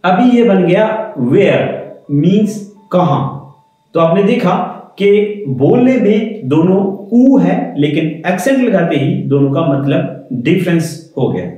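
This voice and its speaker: Male tutor, slow and mellow, lively pitch, very echoey room. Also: faint music behind. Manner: slow, patient explanation, repeating key words. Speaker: French teacher. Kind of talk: explaining to viewers.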